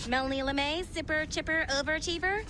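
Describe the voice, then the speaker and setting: A brisk, snarky techie with a high voice. She takes charge in a video game scene, with faint noise in the background. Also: sharp tone. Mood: brisk impatience. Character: brisk, snarky, take-charge female tech colleague. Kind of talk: video game cutscene acting.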